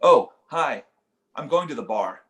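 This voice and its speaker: A man playing an insecure, sarcastic failed-comedian father, defensive and sardonic, in an animated comedy read. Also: medium pitch, measured pace. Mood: defensive, bitter.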